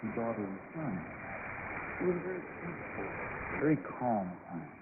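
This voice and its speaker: A man's voice: mid-range voice, sing-song, normal speed. A man giving a translated voice-over of an interview in a radio report. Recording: very noisy, tinny shortwave broadcast. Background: heavy shortwave static.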